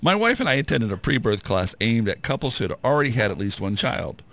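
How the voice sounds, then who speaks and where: A man's voice: medium pitch, sing-song, a little fast, fairly bright tone, slight background noise. A man co-hosting a humor radio show, tired but goofy, joking and playing mock-confused. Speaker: radio co-host. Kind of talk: casual radio banter.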